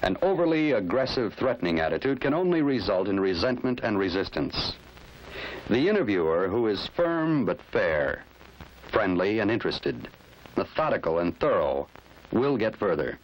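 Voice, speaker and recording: An American man narrates a training film in a formal, instructional style. His voice sits low, with plenty of melodic movement as he lays out each point. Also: big pitch swings, normal speed, rough recording. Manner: formal, didactic.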